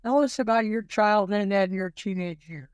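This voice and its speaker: A man's voice: sits high, moderate pace, smooth, no echo, clean studio sound. A man hosting an informal interview, jokey and rambling, with a habit of repeating himself. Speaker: show host interviewing a guest.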